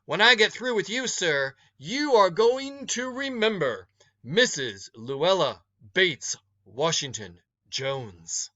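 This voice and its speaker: A big, no-nonsense woman from a storybook, acted out by a man reading aloud, commanding and folksy as she scolds. The delivery is bright and forceful, slightly high for a male reader, in a dry, close sound. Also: big pitch swings, slow, dry recording, muffled recording, full character voice. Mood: firm, scolding. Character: large stern older woman scolding a young thief.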